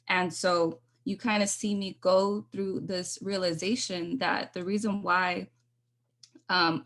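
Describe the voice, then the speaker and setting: A woman with a lowish voice reads her own poetry over a video call. Her delivery is measured and earnest, and between poems she slips into easy, casual talk. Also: fairly bright tone. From United States. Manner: measured, earnest recitation with casual spoken asides. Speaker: poet. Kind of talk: spoken word poetry reading.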